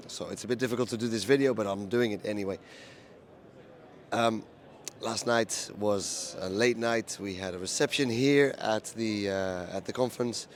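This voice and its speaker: A man with a low, hoarse voice talks through a conference recap for his video channel. He speaks plainly and steadily, with frequent ums and uhs. The rasp from a lost voice stays through every line. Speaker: event reporter recapping a conference. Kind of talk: casual spoken recap to camera.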